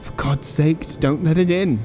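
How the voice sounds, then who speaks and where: A frightened old husband in a horror storybook, played by a male narrator. His voice is shaky and hoarse, faltering with dread. Faint music runs underneath, and the tone is dark. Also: on the high side, steady tone, a little slow, pitched above the performer's own voice, natural voice. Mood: terrified pleading. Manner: faltering, fearful. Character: fearful, trembling old husband.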